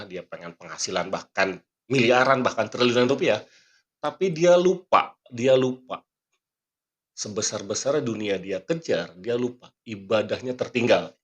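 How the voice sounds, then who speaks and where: A man hosting a motivational podcast, teaching earnestly and quoting scripture and sayings, often clearing his throat while he talks because he is not fully well. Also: lowish voice. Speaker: magician and motivational speaker. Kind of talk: motivational podcast talk with a magic trick demo.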